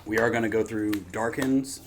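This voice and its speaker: A man with a fairly low voice hosts a casual reaction channel. He rambles along informally, with plenty of slang, as if talking with friends and viewers. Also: steady tone, moderate pace, noisy background. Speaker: YouTube channel host.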